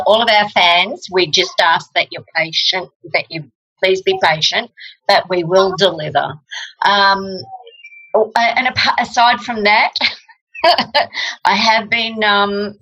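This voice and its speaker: A woman singer-songwriter with a husky voice, chatting and joking as a guest on a video call. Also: medium pitch, bright, forceful tone, poor audio. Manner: joking, chatty, laughs often. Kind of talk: casual video-call chat.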